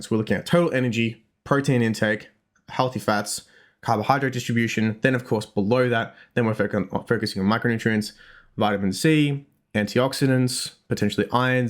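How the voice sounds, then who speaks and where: A man's voice: mid-range voice, a little fast. A young man with an Australian accent, chatting casually on a health podcast, rambling with self-deprecating asides and frequent restarts. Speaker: dietitian podcast host.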